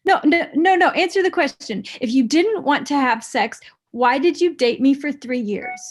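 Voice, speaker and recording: A woman playing a blunt, resentful young ex-girlfriend with a deadpan, pressing manner, in a comedy table read. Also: high voice, moderate pace. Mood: angry, demanding.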